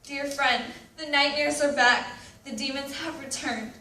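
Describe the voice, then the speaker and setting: Woman performing an anguished, high-voiced teenager in a roomy space. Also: fairly even intonation, a little slow, bright, forceful tone. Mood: bitter, despairing. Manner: dramatic, emphatic delivery. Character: anguished, anxious, self-loathing teenager writing confessional letters. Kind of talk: readers theatre monologue. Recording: roomy, distant mic with background noise.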